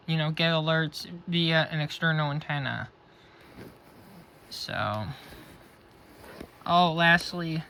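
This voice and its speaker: A man's voice: on the high side, pushed and bright, clean tone. A man giving a casual, unscripted product review and demonstration. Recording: faint background noise.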